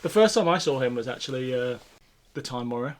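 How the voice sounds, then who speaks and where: A man's voice: mid-range voice, animated, brisk. A British man co-hosting a podcast in a casual, conversational chat style. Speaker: podcast co-host. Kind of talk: casual chat about classic television sci-fi.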